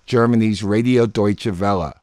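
A man's voice: on the low side, normal speed, darkish tone, no echo. Dry-sounding American man hosting radio. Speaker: radio programme host. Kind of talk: scripted announcing.

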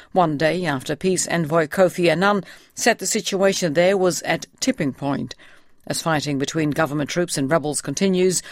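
A man's voice: on the high side, no echo, studio quality. A man reading scripted world news in a formal bulletin style. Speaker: newsreader.

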